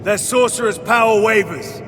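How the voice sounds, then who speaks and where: An actor playing a steady space marine veteran in a video game, measured and disciplined. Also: male voice, high-pitched, in a large hall, background noise, close to an everyday voice. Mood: focused.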